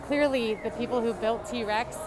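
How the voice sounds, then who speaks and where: A woman's voice: a little high, steady tone, moderate pace. A young woman giving a casual, chatty review as a vlog host in a restaurant. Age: young adult. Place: themed restaurant, indoors. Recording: restaurant chatter and background music.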